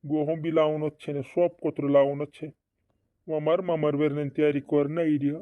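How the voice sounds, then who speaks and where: A man's voice: fairly high pitch, flat delivery, fairly quick, no room sound, natural voice. A man voicing a fretting cartoon villager with repetitive, doubled words, in a dull lo-fi recording. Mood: urging. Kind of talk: regional comedy cartoon dialogue. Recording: dull lo-fi dry audio.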